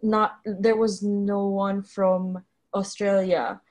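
A woman co-hosting a podcast, explaining her experiences in an unhurried way with small hesitations. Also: medium pitch, level tone, darkish tone. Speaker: podcast co-host. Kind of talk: casual interview chat.

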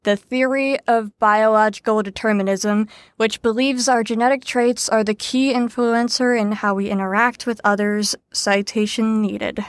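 Actress as a high-voiced, sardonic young group leader. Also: female voice, fairly even intonation, moderate pace, no room sound, natural voice. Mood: dry, lecturing. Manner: sarcastic, weary, lecturing. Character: exasperated, sardonic young woman leading a group lesson. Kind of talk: audio drama acting.

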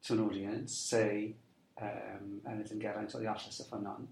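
A man's voice: fairly low pitch, level tone, normal speed, creaky, a little mellow, echoey room, a little hiss or hum, poor audio. A man interviewing a storyteller, asking polite, encouraging questions.

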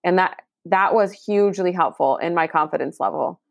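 Chatty low-voiced woman, dry sound. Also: normal speed, gravelly, no echo. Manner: chatty, agreeable, adds asides. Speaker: podcast co-host. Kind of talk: casual podcast chat.

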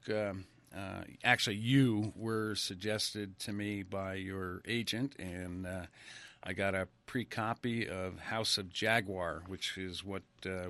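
A man in his sixties from Connecticut hosts a relaxed interview, laughing easily and talking around his thoughts with plenty of ums. His voice is bright and forceful, sitting a little low, and the recording is close and dry. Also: vocal fry, no echo. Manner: chatty, laughs often, many fillers. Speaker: radio show host. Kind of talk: casual interview chat.

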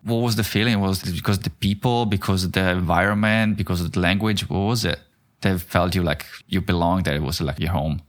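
A man's voice: low-pitched, fairly even intonation, fairly quick. A man who interviews a guest, asking curious, long winding questions full of "like". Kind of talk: conversational interview.

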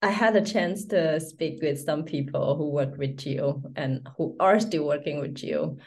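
A middle-aged woman hosting a podcast interview, admiring and quick to laugh. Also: low voice, darkish tone. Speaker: podcast host.

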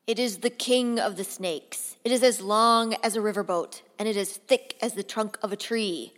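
A woman voicing a forceful, excitable male army general with an emphatic delivery, from a graphic novel read aloud. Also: medium pitch, moderate pace, big-room sound, close to an everyday voice. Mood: boastful, intense.

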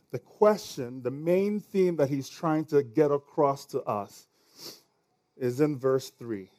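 Male pastor, Nigerian accent, slightly high voice, relaxed conversational preaching with restarts. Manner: conversational, stumbles over words, chuckles now and then. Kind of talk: sermon.

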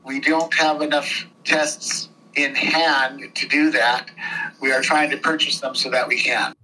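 A man giving unscripted, measured and diplomatic interview answers as a board official. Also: mid-range voice, little pitch movement, pushed and bright, breathy edge, low-quality recording. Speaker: school board president being interviewed.

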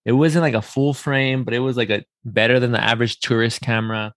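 A man's voice: mid-range voice, steady tone, a little fast, fairly bright tone, no echo, clean studio sound. A man hosting a casual interview, thinking aloud in a rambling way and repeating words for emphasis. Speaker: podcast host.

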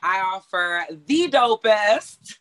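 A woman in a relaxed interview, speaking at a middle pitch with a bright, forward tone. She talks in a chatty way, laughing often and restarting her sentences. She grew up in Mexico and went to school in Texas. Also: slow. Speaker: massage therapist, interview guest. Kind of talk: casual interview chat.

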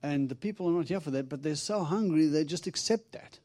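Middle-aged South African-born preacher, Texan accent, humorous storytelling sermon, dry close sound. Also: male voice, on the high side, fairly quick, dark tone, no echo. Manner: chatty and humorous, acting out dialogue with God. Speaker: visiting preacher. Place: church.

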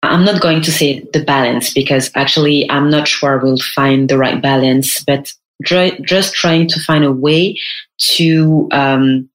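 A woman, speaking slowly in a low voice. She talks in a hesitant way, stopping to restart her sentences and filling the gaps with "um". The room around her has a noticeable echo. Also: steady tone. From France. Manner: hesitant, many fillers and restarts. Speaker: guest, personal brand stylist. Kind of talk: casual interview chat.

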